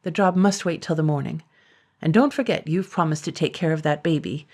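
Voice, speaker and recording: A woman voicing a polite, quick-witted male blacksmith, with a low husky voice. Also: darkish tone. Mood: brisk. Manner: polite, brisk. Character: humble clever village blacksmith, a man.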